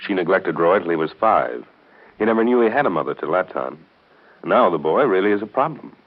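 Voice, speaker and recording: An actor in a 1930s radio soap opera playing a courteous, thoughtful gentleman who speaks in an earnest, measured way. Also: low voice, big pitch swings, narrow, tinny audio. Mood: concerned. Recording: band-limited scratchy 1930s radio broadcast.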